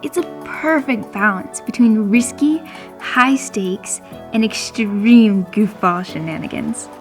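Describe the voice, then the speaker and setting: A woman's voice: medium pitch, slow pace, soft background music. A woman reading scripted documentary narration as the narrator of a film.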